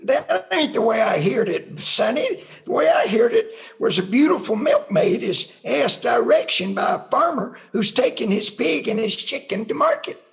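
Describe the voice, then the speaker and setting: A man playing a cranky, folksy old-timer who rambles in the style of old-time radio comedy, with a creaky voice. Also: very high voice, big pitch swings, moderate pace, hardly any pauses, highs cut off, exaggerated voice. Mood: folksy, rambling.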